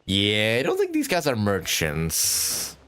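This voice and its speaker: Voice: medium pitch, huge pitch swings, slow, fairly bright tone, a little hiss or hum. A game streamer giving casual live gameplay commentary in wry, muttered reactions. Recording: game music behind.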